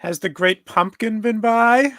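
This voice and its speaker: Man plays hopeful cartoon little boy, wide-eyed and gullible, high voice, unhurried pace. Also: sharp tone, no echo, clean studio sound. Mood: earnest, hopeful. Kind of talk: live comedic table read of a cartoon.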